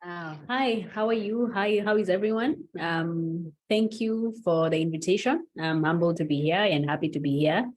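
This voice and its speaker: Woman from Kenya, lawyer, lowish voice, composed self-introduction. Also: normal speed, hardly any pauses, slight background noise, rough recording. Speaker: panelist, lawyer.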